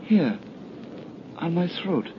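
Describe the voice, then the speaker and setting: An actor playing a dreamy, weakened young man in an old radio horror drama, languid and halting. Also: big pitch swings, full character voice. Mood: drowsy, weak. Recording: muffled old radio recording, loud rumbling noise.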